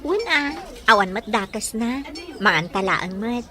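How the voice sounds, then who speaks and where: A woman's voice: fairly high pitch, expressive, measured pace, bright, forceful tone. A woman with an Ilocano accent playing a teasing, demure young village sweetheart in an audio drama, with a playful manner. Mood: coy, friendly. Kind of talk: Ilocano radio-style drama acting.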